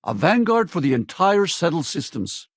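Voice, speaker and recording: An actor playing a rugged, wry space pilot in a video game, gravelly and husky, talking in a casual, blunt way. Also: male voice, on the high side, sing-song, moderate pace, no room sound, clean studio sound, heavily acted. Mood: confident. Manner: casual, blunt, plain-spoken. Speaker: video game companion character. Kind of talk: scripted game dialogue and combat barks.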